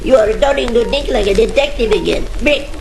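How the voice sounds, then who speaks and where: A man's voice: very high-pitched, fairly quick, gravelly, reverberant, slight background noise, voice pushed up, low-quality recording. A man voicing a friendly, earnest male character in a comic radio play, speaking in polite, very formal phrases.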